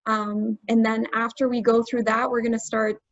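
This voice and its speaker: An American woman tutoring German in a relaxed, chatty way, using casual slang. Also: on the high side, normal speed. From United States. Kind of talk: casual webinar teaching. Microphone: video call. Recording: dull lo-fi video call audio.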